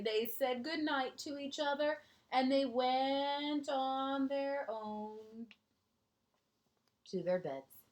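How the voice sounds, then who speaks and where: A woman reads a children's story at an unhurried pace, with lots of rise and fall in her pitch. She speaks like a gentle teacher, stepping out of the text to point things out and put questions to the young listeners. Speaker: teacher reading a picture book to young children. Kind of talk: storybook read-aloud with chatty asides to children.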